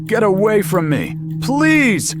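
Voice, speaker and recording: A man's voice: sits high, wildly swooping pitch, slow, loud music behind the voice, exaggerated voice. A man playing a terrified survivor under monster attack in an audio drama, pleading and crying out in gasping exclamations.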